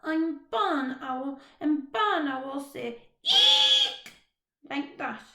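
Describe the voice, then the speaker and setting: A woman voicing a timid but touchy baby barn owl boy who speaks slowly and carefully, in a roomy, echoey room. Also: high voice, expressive, fairly bright tone, pitched above the performer's own voice, exaggerated voice. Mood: prim. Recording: roomy echo.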